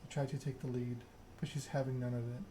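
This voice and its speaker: A man narrating a story game aloud in ASMR style, reading in a measured way with occasional stumbles. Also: mid-range voice, flat delivery, mellow, a little hiss or hum.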